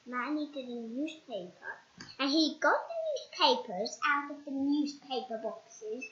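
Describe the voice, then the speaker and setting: A child narrates a storybook in a high, bright voice. The delivery is slow, halting and dramatic, with repeated words. The recording is echoey and slightly dull. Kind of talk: children's storybook read-aloud.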